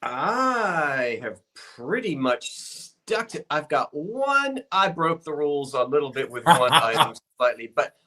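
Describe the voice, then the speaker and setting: A man's voice: fairly high pitch, big pitch swings, slow, few pauses, bright, forceful tone. A man hosting a casual live-stream sale over a video call, folksy and homespun in how he talks.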